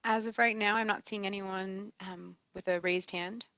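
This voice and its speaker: A woman's voice: mid-range voice, steady tone, normal speed, fairly bright tone, dry recording. A woman politely moderating a remote conference call, managing the queue of participants. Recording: dull, dry call audio.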